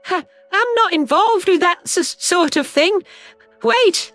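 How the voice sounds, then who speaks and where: A woman's voice: very high-pitched, animated, slow, a bit bright, soft background music, full character voice. A woman playing a timid, terrified alien civilian in a sci-fi audio drama, pleading in a hesitant stammer. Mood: nervous stammering. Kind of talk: audio drama character acting.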